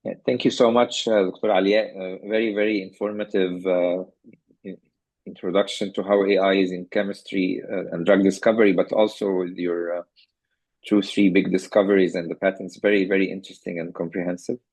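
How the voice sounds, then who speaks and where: A man moderating an online webinar, thanking the speaker and asking questions in a halting way, repeating words. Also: low voice, little pitch movement, a little mellow, breathy edge, no room sound, poor audio. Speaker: webinar host. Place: video call.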